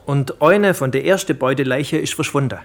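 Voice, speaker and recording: An actor plays an obliging male forensics officer in a radio drama. His voice is mid-pitched, and he reports plainly and matter-of-factly. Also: moderate pace, natural voice. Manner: matter-of-fact. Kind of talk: German radio drama acting.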